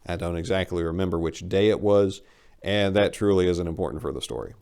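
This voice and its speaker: A man performing a short story as a wry, rambling, incredulous accident survivor, animated and emphatic in a conversational way. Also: sits low, a little fast, vocal fry, clean tone. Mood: dramatic recounting. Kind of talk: dramatic reading of a short story.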